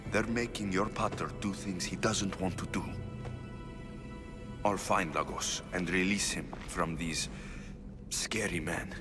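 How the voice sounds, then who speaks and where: A man's voice: low voice, fairly even intonation, unhurried, long pauses. A man playing a determined, defiant young Greek mercenary hero in a game cutscene, blunt and resolute. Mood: reassuring. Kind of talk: video game cutscene acting. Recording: loud music behind the voice.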